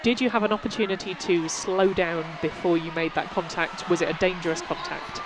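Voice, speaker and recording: A woman commentates alongside a partner at a roller derby game, chatty and friendly with a self-deprecating streak. Her pitch is mid-range. Plenty of venue noise is heard under her voice. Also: steady tone, brisk, crowd noise. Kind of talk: live sports commentary. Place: sports venue.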